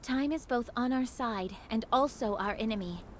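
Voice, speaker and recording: A woman playing a composed, gentle young female squad leader in a dramatic video game, with earnest, measured, polished delivery. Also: on the high side, slight background noise, natural voice. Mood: calm, resolute. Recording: game dialogue over clear background music.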